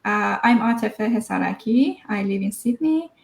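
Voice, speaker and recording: Gravelly woman, roomy echo. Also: mid-range voice, expressive, normal speed. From Iran. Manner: hesitant, many fillers. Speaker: guest. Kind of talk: personal story in an interview.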